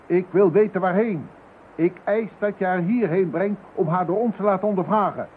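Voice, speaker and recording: A man's voice: sits high, normal speed, natural voice. An actor playing an irascible, bossy male police commissioner in a radio drama. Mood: angry, demanding. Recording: tinny, band-limited, hissy radio play recording.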